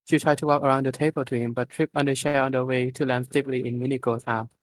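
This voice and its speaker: A man's voice: mid-range voice, level tone, fast, no room sound, poor audio. Man reading a story aloud, very dry sound. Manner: informal, stumbling over words. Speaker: reader of fan fiction at an online readalong. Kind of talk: live amateur story reading.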